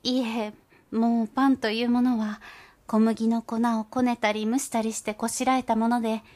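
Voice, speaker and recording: A woman's voice: fairly high pitch, flat delivery, moderate pace, a little mellow, close to an everyday voice. A woman voicing an anxious, humble, and very polite mother field mouse in a storybook reading.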